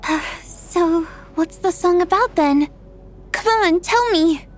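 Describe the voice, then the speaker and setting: A woman playing a sweet, frail young girl in a video game dub, with a soft, breathy voice and a shy, hesitant, tender way of talking. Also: very high voice, takes it slowly, faint music behind. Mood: hopeful, hesitant.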